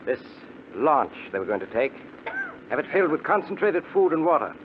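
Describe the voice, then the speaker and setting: A man's voice: fairly high pitch, very animated, a little fast. A man playing a stern, authoritative ship's captain in a 1950s radio drama, formal and firm. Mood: coldly commanding. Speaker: radio actor. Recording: muffled, slightly noisy 1950s radio broadcast.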